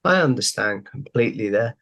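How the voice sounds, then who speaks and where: A man's voice: on the low side, expressive, brisk, gravelly, a bit bright. A young man hosting a podcast from home over a video call headset, asking friendly, rambling questions and leaning on the same stock phrases. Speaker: podcast host. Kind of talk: casual interview questions. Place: home room.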